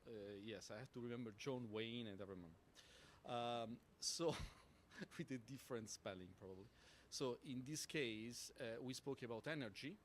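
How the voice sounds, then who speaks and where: A man with an Italian accent, a native Italian speaker, answering as a panelist, halting and searching for words with many fillers. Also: medium pitch, slight background noise. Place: conference hall panel.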